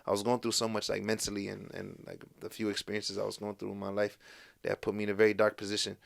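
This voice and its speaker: A man's voice: lowish voice, quick pace, creaky. Young man telling stories. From Morocco, raised in the United States. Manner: rambling storytelling, heavy fillers, lively voices for quoted people. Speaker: guest. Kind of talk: casual podcast conversation.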